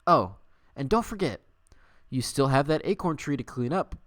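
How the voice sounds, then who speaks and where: A man's voice: medium pitch, expressive, normal speed, no room sound, studio quality, close to an everyday voice. Man voicing a flirty, wily female squirrel. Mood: flirty, teasing. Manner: teasing, suggestive. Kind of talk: dramatic fan fiction reading.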